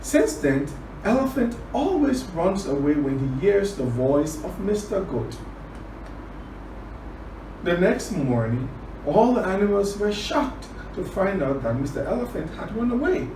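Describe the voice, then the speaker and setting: A man from Liberia telling a children's story and teaching words, deliberate, repeating phrases for emphasis. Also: high voice, very animated. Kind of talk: children's storytelling and word lesson. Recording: roomy, distant, clearly noisy recording.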